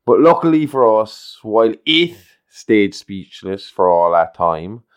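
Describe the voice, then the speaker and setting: Deep-voiced male podcast host, smooth and dry. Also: very animated, unhurried, no echo. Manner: joking, swears freely. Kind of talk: casual chat. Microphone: podcast mic.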